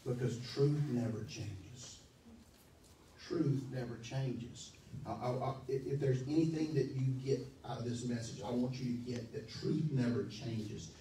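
A man in his forties preaching a sermon as pastor, emphatic and animated, speaking into a handheld mic in a church hall. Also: mid-range voice, a little hiss or hum. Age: 40s. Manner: animated, emphatic, pacing the stage. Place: church hall, medium-sized, indoors. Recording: echoey hall, from far off.

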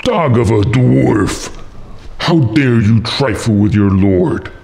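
A man's voice: mid-range voice, expressive, slow pace, darkish tone. A man voicing a blustering, short-tempered Grand Duke in a storybook, imperious and emphatic. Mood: furious.

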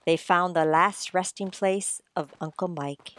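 A woman's voice: on the low side, steady tone, measured pace, fairly bright tone. A woman narrating a radio mystery in a dramatic, scripted style.

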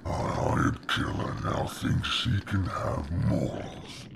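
A man voicing a cruel, mocking demon lord in a gravelly growl, slow and theatrical, with noisy ambience behind him. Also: close to an everyday voice. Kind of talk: comic dub villain acting.

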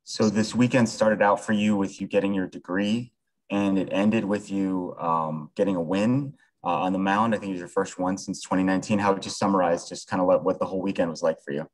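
Deep-voiced American male reporter. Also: steady tone, brisk, low-quality recording. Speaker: sports reporter. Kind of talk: press conference questions.

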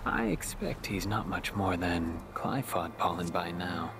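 A man playing a brooding, poetic young summoner in a video game, measured, literary and understated. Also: sits low, sing-song, vocal fry, heavily acted. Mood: calm, ominous. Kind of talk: video game cutscene acting. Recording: loud music behind the voice.

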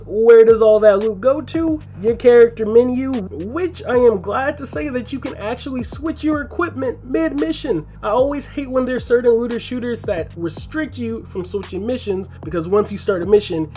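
A man with a high-pitched voice talks through gameplay as a gaming channel host. He explains things step by step in an informal, everyday way, as commentary for viewers. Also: darkish tone, slight background noise.

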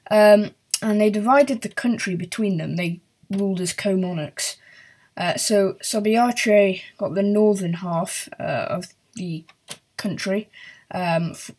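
A woman's voice: fairly low pitch, darkish tone, breathy edge. A woman hosting a history video, talking casually with many fillers and apologies. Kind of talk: casual explainer narration. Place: house with work going on downstairs.

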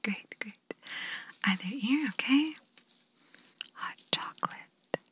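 A woman whispering slowly and softly as she plays an examiner in an ASMR roleplay, repeating gentle praise into a close binaural mic. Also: mid-range voice, background noise. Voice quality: whispering, mostly unvoiced. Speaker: ASMR creator playing an examiner.

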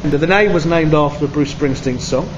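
A man's voice: fairly high pitch, normal speed. A man who organises the charity, earnestly explaining at length from behind the camera in a pub. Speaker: charity organiser filming the artist. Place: indoor pub. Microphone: camera mic, speaking from behind the camera. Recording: dull sound with clear background noise.

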